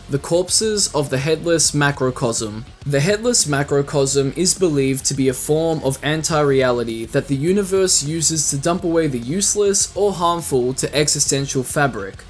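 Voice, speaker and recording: A man's voice: fairly high pitch, a little hiss or hum. A man narrating a scripted fan video essay in an explanatory way with casual asides. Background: music.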